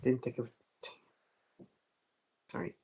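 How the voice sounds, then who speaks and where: A person muttering casually and swearing, off script. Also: takes it slowly. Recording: noisy, distant-sounding microphone.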